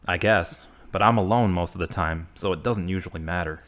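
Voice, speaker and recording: A man playing an earnest, moody man returned to his faith, measured and subdued, in an audio drama. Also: sits low, a bit bright. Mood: reflective. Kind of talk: hobby audio drama acting.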